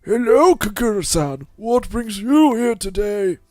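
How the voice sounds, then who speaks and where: A humble, friendly school janitor from a visual novel, played by a woman. The voice is lowered and mellow, with an easy helpfulness. It is recorded dry. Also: lively intonation, a little slow, no echo, soft background music, natural voice.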